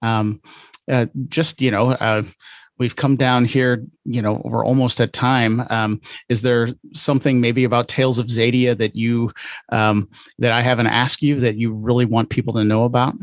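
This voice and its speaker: A man with a medium-pitched voice hosts a casual, unscripted interview. He speaks haltingly and tentatively, working out his phrasing as he goes. The sound is dry, without room echo. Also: level tone. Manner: tentative, halting, feeling his way through questions. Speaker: podcast host, interviewer.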